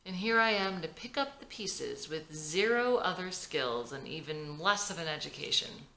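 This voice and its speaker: A woman's voice: sits low, lively intonation, moderate pace, gravelly, sharp tone, exaggerated voice, poor audio. A woman playing a wry, nonchalant young female musician who puts herself down, in a drama script.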